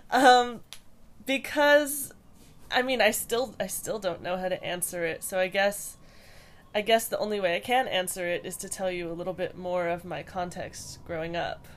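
A young woman from Hawaii, a podcast guest answering interview questions in a hesitant, thoughtful way with many pauses and restarts. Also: fairly low pitch.

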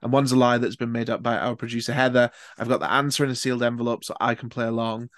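A man's voice: mid-range voice, little pitch movement, fast, fairly bright tone, no echo. Sweary chatty man, dry sound. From Newcastle. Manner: chatty, sweary, reads game stories aloud. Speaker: podcast host. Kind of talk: casual podcast chat.

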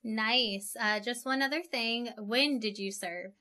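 A woman's voice: on the high side, slow pace, pushed and bright. A woman hosting an interview, upbeat and prompting her guest along. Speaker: podcast host.

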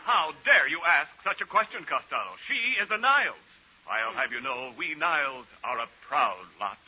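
Pompous doting uncle, very bright voice, lively swoops, vintage radio comedy, hissy recording. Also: male voice, a little high, moderate pace, rough recording. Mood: indignant. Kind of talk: old-time radio comedy acting.

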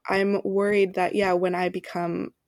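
A woman's voice: on the low side, little pitch movement, unhurried, darkish tone, no echo, studio quality. A young woman co-hosting a podcast, talking in a hesitant, casual way with many ums and restarts. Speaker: podcast co-host.

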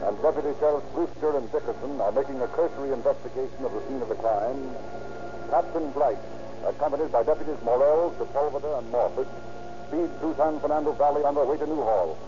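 A man's voice: mid-range voice, fast, pauses often, noisy background. A man narrating an old-time radio crime drama in a formal, dramatic announcing style. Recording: muffled old radio recording, music behind.

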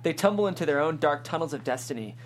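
A woman's voice: sits low, expressive, fast talker. A woman narrator reading screenplay stage directions at a table read.

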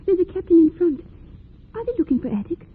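An actress playing an anxious, dutiful young Quaker daughter in old-time radio drama, excitable and full of questions. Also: female voice, extremely high pitch, fast. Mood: alarmed. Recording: muffled, noisy old radio recording.

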